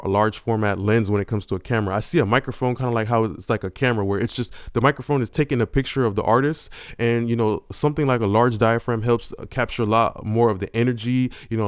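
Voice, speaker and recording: A man's voice: mid-range voice, clear, resonant tone, no room sound. A man hosting a casual music-production tutorial, talking in a laid-back, slangy way full of fillers, into a large-diaphragm studio condenser mic in a home studio. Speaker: YouTube host teaching vocal mixing.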